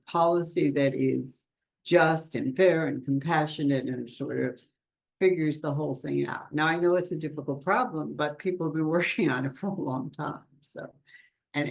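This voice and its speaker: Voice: medium pitch, creaky, darkish tone. A panelist on a video call, talking in a chatty, rambling way with frequent laughs and restarts. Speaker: webinar panelist. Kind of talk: conversational panel discussion. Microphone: computer mic on a video call.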